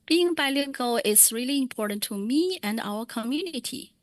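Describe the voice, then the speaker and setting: Female meeting interpreter, slightly high, dry mic, calm and measured. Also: no room sound. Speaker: Mandarin interpreter. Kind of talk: consecutive interpreting at a public meeting.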